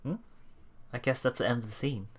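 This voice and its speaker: A let's play streamer reading a visual novel aloud and adding casual, animated, reactive commentary. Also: medium pitch, fairly quick. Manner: animated, reactive, laughs often. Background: game music.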